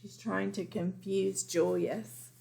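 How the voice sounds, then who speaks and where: A woman reading a picture book aloud as a teacher, deliberate and emphatic, for young children. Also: medium pitch, level tone, vocal fry, dark tone, a little hiss or hum. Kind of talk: picture-book read-aloud with informal asides.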